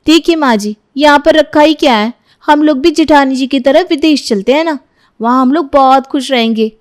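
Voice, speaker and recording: A nagging young mother, acted out by a woman storyteller, discontented and envious. Her voice is fairly high, and she scolds and complains in a moral-story style. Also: moderate pace, close to an everyday voice. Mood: pleading, argumentative.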